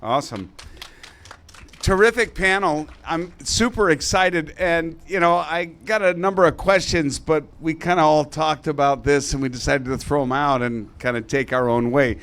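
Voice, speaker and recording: A man's voice: fairly high pitch, normal speed, a bit bright. A man moderating a conference panel, upbeat and informal as he sets up the discussion. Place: conference hall stage.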